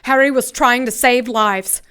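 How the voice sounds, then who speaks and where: A steadfast angel in an audio drama. Her voice is smooth and fairly high, with formal, dignified phrasing. She stands firm and defiant. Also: fairly even intonation, a little slow, sharp tone. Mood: firm defence. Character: principled, defiant female angel, calm and steadfast.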